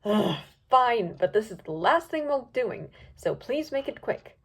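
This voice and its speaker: A haughty, imperious pony sorceress, clipped and exasperated, her voice pitched lower than the rest of the storybook cast. A woman acts her out in a reading. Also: big pitch swings, measured pace, slightly rough, full character voice. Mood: stern.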